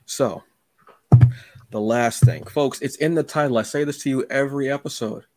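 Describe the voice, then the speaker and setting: A man's voice: mid-range voice, normal speed, a little mellow, dry recording, soft background music. A man hosting a podcast, talking in a casual, effusive, rambling way and often repeating his words. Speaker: podcast host and interviewer. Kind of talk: casual, chatty interview.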